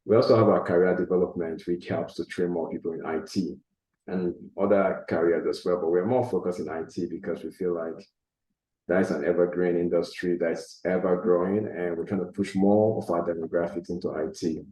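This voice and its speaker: A man's voice: low voice, little pitch movement, brisk, dark tone, echoey room, rough recording. A man speaking as a panel guest over a video call, earnest and enthusiastic about his organization's programs. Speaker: panel guest, nonprofit leader. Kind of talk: casual panel conversation.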